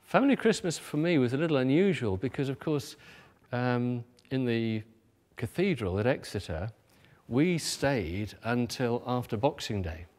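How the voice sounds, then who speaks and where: A man's voice: mid-range voice, animated, measured pace, few pauses, a little mellow. A man thoughtfully recalling Christmases as a boarding chorister. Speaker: interviewee.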